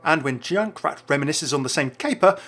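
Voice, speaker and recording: A man's voice: a little high, lively intonation, normal speed, a bit bright, faint music behind. A man hosting a scripted video game lore voice-over in a chatty, informal way.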